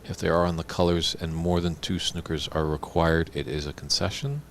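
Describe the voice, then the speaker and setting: A man's voice: low-pitched, fairly even intonation, fairly quick, a bit bright, a little hiss or hum. A man commentating a snooker tournament in a chatty, informative way.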